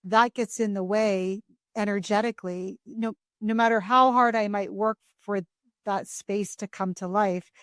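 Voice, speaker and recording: A woman's voice: medium pitch, steady tone, unhurried, no room sound, clean studio sound. A woman hosting a podcast who speaks reflectively and thoughtfully from her own experience. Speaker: podcast host. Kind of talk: conversational interview.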